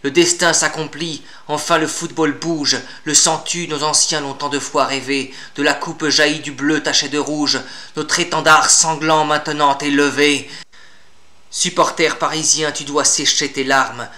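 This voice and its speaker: A man's voice: fairly high pitch, fairly even intonation, a little slow, pushed and bright. A man reciting formal classical verse in a solemn, declamatory and grandiloquent manner. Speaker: poet reciting own poem.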